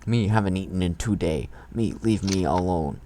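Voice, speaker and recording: A man's voice: sits low, moderate pace, background noise. A man reading fan fiction aloud in a mocking, irreverent way, tossing in crude comments of his own.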